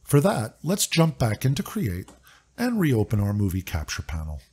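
A man narrating a scripted software walkthrough in a clear, upbeat, step-by-step instructive way. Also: mid-range voice, huge pitch swings, normal speed. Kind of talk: scripted screen-recording walkthrough.

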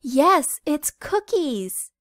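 A woman voicing an excitable little chicken in a picture book read aloud, squealing and sing-song. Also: sits high, very slow, no room sound, studio quality, pitched above the performer's own voice, full character voice. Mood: eager. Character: excitable little girl chicken, chatty and pushy.

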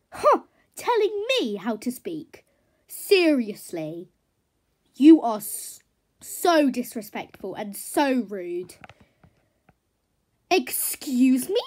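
A woman voicing a spoiled, dramatic, easily offended mare in a children's horse story, with snobbish, drawn-out, indignant complaints. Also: on the high side, a little mellow, exaggerated voice. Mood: outraged, haughty. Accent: put-on accent unlike the other characters'.

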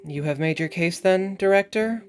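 A woman playing stern, cold council overseers in an audio drama, severe and deliberate with an authoritative manner. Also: on the low side, soft background music, close to an everyday voice. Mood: cold, impatient. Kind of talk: scripted audio drama interrogation.

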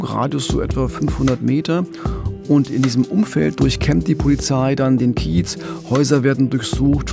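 A man's voice: medium pitch, creaky. A man co-hosting a true-crime podcast, narrating a case in a conversational way. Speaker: podcast co-host and crime reporter.

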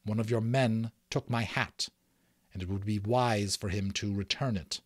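A man's voice: fairly low pitch, steady tone, a little slow, no echo, studio quality. Man as a proud, prickly storybook magician. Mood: firm, indignant. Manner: formal, self-important. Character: proud, prickly male magician, older than he looks.

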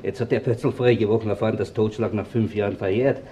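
An actor playing a blunt, sceptical police detective in a crime radio play, dry and matter-of-fact, with an Austrian accent. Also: male voice, fairly low pitch, steady tone, fairly quick, dark tone, slight background noise, lightly acted.

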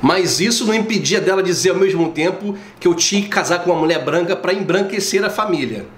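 A man in his forties from Brazil with a Rio de Janeiro accent, vlogging casually to camera at home, slangy and animated. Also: sits high, quick pace, slight background noise. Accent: Rio de Janeiro Portuguese. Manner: slangy, animated storytelling, acts out quoted voices. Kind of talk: casual opinion vlog. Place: home living room, indoors. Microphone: camera at close range. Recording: roomy echo in a home room.

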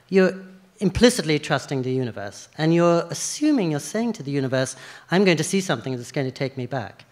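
A man in his sixties from England, an author talking on a festival stage into a stand mic, measured and eloquent. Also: on the high side. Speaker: author guest. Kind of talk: onstage literary conversation. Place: theater stage at a book festival, live audience.

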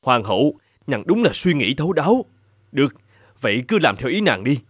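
A man voicing an infatuated middle-aged emperor in an animated fairy tale, imperious and formal. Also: medium pitch, a little fast, husky, dry recording, studio quality. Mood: persuaded. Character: infatuated middle-aged emperor, indignant yet easily persuaded. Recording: music playing behind the voice.

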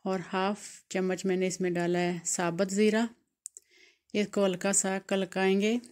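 A woman from Pakistan narrates her home cooking video step by step. Her delivery is calm and explanatory, with a mid-height voice that stays flat and even, barely rising or falling. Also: mid-range voice, measured pace. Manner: calm, explanatory, walks through each step. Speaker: home cooking channel host.

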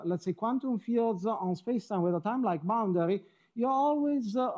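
A man's voice: high-pitched, warm tone. Wry man giving a seminar. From Switzerland. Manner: wry, self-deprecating, often rephrasing mid-sentence. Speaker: lecturer. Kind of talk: academic seminar talk. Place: lecture hall, indoors.

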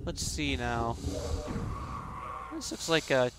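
A man's voice: medium pitch, lively intonation, takes it slowly. Chatty man narrating his game play. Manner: chatty, self-deprecating, thinking aloud. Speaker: let's play gamer. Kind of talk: casual game commentary. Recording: loud game music behind. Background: loud game music.

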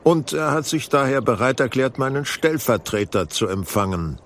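An actor playing a composed, wry detective in an audio drama, explaining things in a measured, articulate way with dry irony. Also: male voice, mid-range voice, lively intonation, fairly bright tone, a little hiss or hum. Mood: brisk, businesslike. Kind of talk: German audio drama acting.

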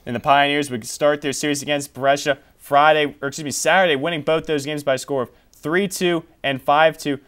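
A man's voice: fairly high pitch, steady tone, brisk, hardly any pauses, a bit bright, clear, resonant tone. A young man hosting a college sports show from a small TV studio, running through scores and stats before handing questions to his analyst. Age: young adult. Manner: reads scores and stats, then hands off questions.

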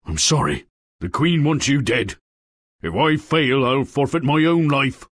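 A reluctant storybook huntsman in a game. His voice is gruff and low, stern and matter-of-fact, recorded dry. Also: lively intonation, a little slow, fairly bright tone, no room sound. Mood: grim. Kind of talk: fairy-tale game acting.

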